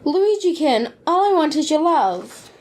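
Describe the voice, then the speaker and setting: A woman's voice: sits high, big pitch swings, measured pace, a little mellow. A woman playing an earnest young noblewoman and wife in a parody audio play, pleading melodramatically. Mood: worried, loving.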